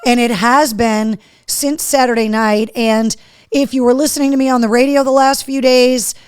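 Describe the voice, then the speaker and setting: A woman hosting a live show in casual chat, her voice hoarse, chatty and loose. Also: a little high, steady tone, moderate pace, darkish tone. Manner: chatty, talks to her dog between remarks. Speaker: host.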